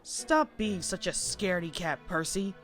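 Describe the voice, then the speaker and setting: A woman's voice: on the low side, measured pace, a bit bright. A woman voicing an earnest young male tank engine in a storybook, brave but jittery and urgent, over music. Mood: dismissive, earnest. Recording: music under the voice.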